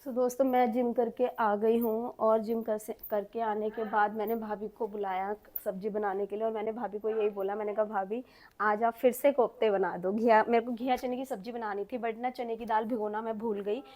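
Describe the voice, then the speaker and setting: A woman's voice: a little high, level tone, moderate pace, a little mellow, slight background noise. A woman talking casually and chattily to her viewers as she vlogs her daily home routine. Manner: chatty, addresses viewers as friends and family.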